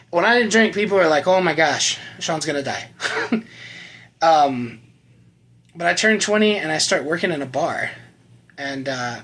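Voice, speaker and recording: A man in his thirties giving a personal testimony to camera, earnest and conversational, often trailing off quietly at the ends of lines. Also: a little high, breathy edge. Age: 30s. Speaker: channel host sharing his recovery story.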